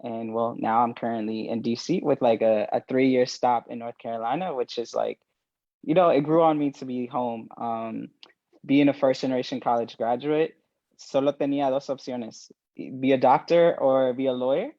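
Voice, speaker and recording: A man's voice: medium pitch, fairly even intonation, normal speed, dark tone, no echo, highs cut off. A man from El Salvador who grew up partly in the US, telling his story casually and switching between English and Spanish. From El Salvador, moved to the United States at seven. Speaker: panel guest.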